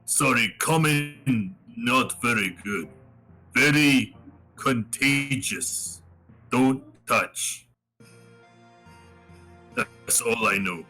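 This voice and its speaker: A man playing a tabletop game, speaking in halting, broken phrases. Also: mid-range voice, pushed and bright, faint music behind, low-quality recording. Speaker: player.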